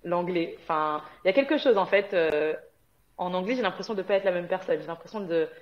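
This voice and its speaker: Lower-pitched chatty woman, muffled sound. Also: brisk. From Guadeloupe. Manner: chatty, frequent hesitations and laughter. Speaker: guest. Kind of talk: casual conversational interview. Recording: dull lo-fi sound.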